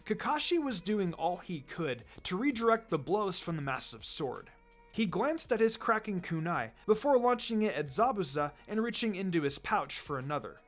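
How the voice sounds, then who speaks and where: A man reading an adventure story aloud as narrator, in a dramatic scripted delivery. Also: high voice. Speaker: narrator, fan fiction reader.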